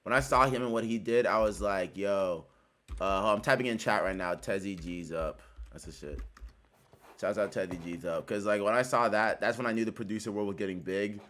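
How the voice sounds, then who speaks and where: A man's voice: lowish voice, fairly quick, fairly bright tone. Laid-back slangy man hosting an interview. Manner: laid-back slangy talk, laughs often. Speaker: interview host. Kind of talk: casual livestream interview.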